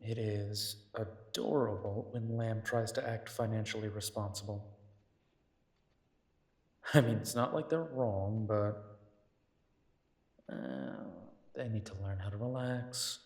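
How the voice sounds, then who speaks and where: A man acting an affectionate, world-weary werewolf detective in an intimate audio drama, soft and coaxing with thoughtful pauses. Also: fairly low pitch, steady tone, husky, no echo, exaggerated voice. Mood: amused, musing.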